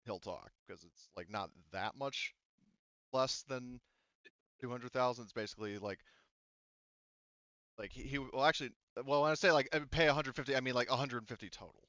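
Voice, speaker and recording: A man's voice: mid-range voice, fairly bright tone, dry recording, highs cut off. A man running a tabletop game as game master, talking casually and often stopping to correct himself as he narrates. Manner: halting, self-correcting.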